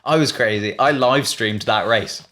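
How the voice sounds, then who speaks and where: A man's voice: mid-range voice, unhurried, bright, forceful tone. A man chatting casually and thinking aloud in a hesitant, musing way while playing a quiz. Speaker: online video host, quiz player.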